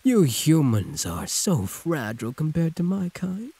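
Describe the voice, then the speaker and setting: A man voicing a huge, possessive, teasing werewolf prisoner with a husky, breathy drawl, in an intimate audio roleplay. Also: on the high side, wildly swooping pitch, a little slow, warm tone, dry recording, exaggerated voice. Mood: urgent, protective. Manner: drawling, teasing pet names, predatory purr.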